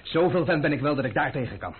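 A man's voice: a little high, lively intonation, fast talker, breathy edge. An actor playing a lovesick, bitter, proud man over his wine in a radio drama, agitated and rambling. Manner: agitated, rambling, repeating himself. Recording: noisy, narrow-band old radio recording.

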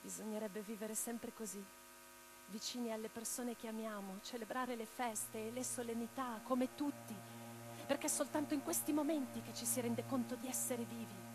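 Woman acting a high-voiced passionate revolutionary woman. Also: moderate pace, lightly acted, poor audio. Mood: wistful, hushed. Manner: declamatory, expressive. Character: passionate, idealistic revolutionary woman, intense and proud. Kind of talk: theatrical radio drama monologue and dialogue. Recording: radio play with music underneath. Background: music.

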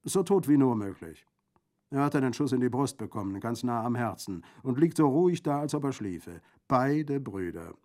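A man's voice: medium pitch, lively intonation, moderate pace, a little mellow, pitched above the performer's own voice, natural voice. A man voicing a boisterous Corsican bandit in an audiobook, jovial and exclamatory, with a gleefully mocking, gruesome humour. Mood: gleefully macabre. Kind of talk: audiobook character acting.

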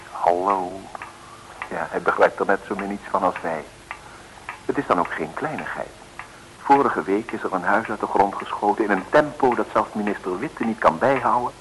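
Male narrator, vintage radio comedy serial: dry irony, dramatic, expressive swings, slightly noisy old recording. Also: fairly low pitch. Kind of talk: wry scripted radio serial narration, 1960s.

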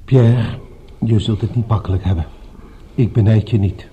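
An actor playing a schemer who feigns friendly concern, measured and solicitous, in a radio drama. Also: male voice, lowish voice, steady tone, phone-quality sound, a little hiss or hum, close to an everyday voice. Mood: falsely concerned.

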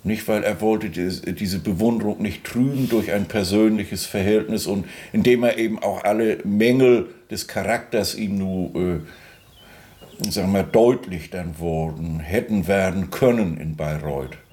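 A man's voice: sits low, a little slow, darkish tone. A man giving informal spoken commentary, hesitant and searching for words in long winding sentences.

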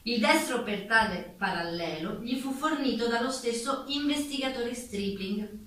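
A woman's voice: mid-range voice, fairly bright tone, natural voice. A woman narrating a scripted theatrical documentary in a formal, articulate storytelling manner. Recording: echoey room, distant mic, faint noise.

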